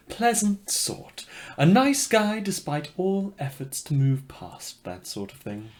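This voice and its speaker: A man's voice: fairly high pitch, a little mellow, natural voice. A man playing a polite but murderous radio host in a fiction podcast, wordy and theatrical, with a genteel, mock-apologetic manner. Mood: grandiose welcome, then genteel menace. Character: polite, murderous, inhuman male radio host, darkly cheerful. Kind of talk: scripted fiction podcast monologue as radio broadcast.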